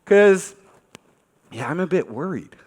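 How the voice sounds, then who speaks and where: A man's voice: a little high, lively intonation, takes it slowly, darkish tone. A man from the Netherlands with a Dutch accent gives an informal conference talk, speaking conversationally and putting questions to his listeners. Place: large conference hall. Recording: reverberant hall stage recording.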